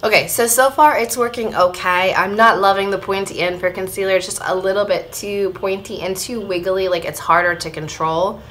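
A woman in her thirties, slightly hoarse, giving a casual beauty product review to camera at home. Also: fairly low pitch, pushed and bright, a little hiss or hum. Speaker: beauty vlogger. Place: small room at home, indoors.

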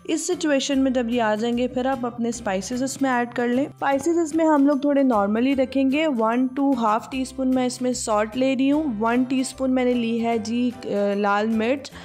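A young woman walks through a recipe in an unhurried voice-over. She explains each step patiently, with small fillers, while soft music plays quietly underneath. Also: on the high side. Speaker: cooking channel host. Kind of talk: voice-over cooking instructions. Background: soft background music.

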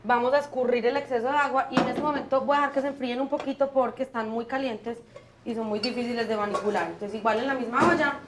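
A young woman with a fairly high voice hosts a televised cooking lesson. She talks viewers briskly through each step. She is recorded on a lapel mic in a small studio kitchen, with faint room noise behind her. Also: steady tone. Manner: brisk, explanatory, addresses viewers directly. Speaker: cooking show host.

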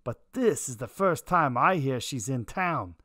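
Animated hard-boiled private eye. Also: male voice, mid-range voice, a little slow, echoey room. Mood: tough, insistent. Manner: wry, terse, slangy tough talk. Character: hard-boiled, stubborn 1940s private detective, gruff uncle. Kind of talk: noir radio drama, narration and dialogue. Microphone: close studio microphone, headset.

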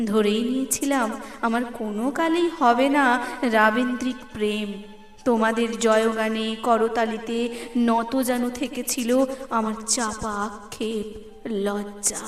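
High-voiced woman reciting poetry in reverb. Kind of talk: dramatic Bengali poetry recitation. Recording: echoey, long reverb, music underneath. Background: background music.

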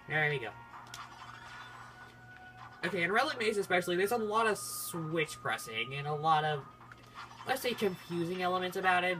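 Halting gamer commentary over game music. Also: medium pitch, rough recording. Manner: halting, rambling, self-interrupting. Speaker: gaming video host. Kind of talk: casual playthrough commentary. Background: video game music.

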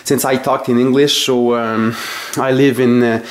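A man with a French Canadian accent, his first language French, showing his collection in his home basement and talking haltingly with many fillers and self-corrections. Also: medium pitch, flat delivery. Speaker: collector vlogger. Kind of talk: casual collection tour. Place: home basement full of shelves.

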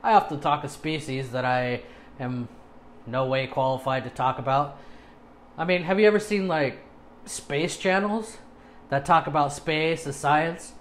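Casual male video presenter, thirties, slightly high voice, informal and self-deprecating, small home studio. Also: a little slow, a little hiss or hum. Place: small home studio with acoustic foam, indoors.

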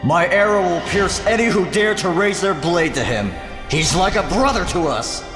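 An actor playing a brash, devoted archer warrior in a video game, with a cocky, emphatic delivery. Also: male voice, sits high, animated, normal speed. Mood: proud confidence. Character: brash, devoted male archer warrior, younger brother-in-arms. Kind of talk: dramatic video game cutscene acting. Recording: echoey hall reverb, music behind.

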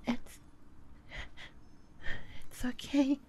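Woman acting slow, tearful, halting devoted woman. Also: slight background noise. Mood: remorseful. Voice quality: soft, breathy, often dropping to a whisper. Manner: halting, stammering, breaking up mid-sentence. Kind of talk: ASMR audio roleplay acting.

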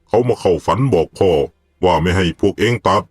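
A man voicing a firm, deliberate older village father who calmly leads spirit rituals in an animated ghost story. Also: deep voice, faint music behind, voice pushed down, close to an everyday voice. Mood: firm, warning.

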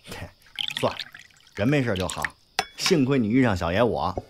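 A man's voice: lowish voice, expressive, moderate pace, background noise, close to an everyday voice. A man playing a brash, cocky but loyal young gangster in an audio drama, talking with slangy, sweary swagger. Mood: cocky, griping. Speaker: voice actor in a fan audio drama. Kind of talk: Chinese audio drama acting.

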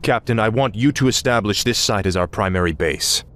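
Game warrior prince, male actor: resolute, clipped, lower-pitched, commanding. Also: a little fast, a bit bright, slight background noise, lightly acted. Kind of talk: video game cutscene acting.

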